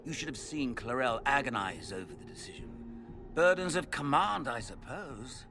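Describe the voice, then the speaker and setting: A man's voice: mid-range voice, expressive, moderate pace, music in the background, close to an everyday voice. An actor playing a pompous, gloating nobleman mage villain in a fantasy game, with mocking theatrical delivery. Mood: smug, dry. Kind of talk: dramatic fantasy game voice acting.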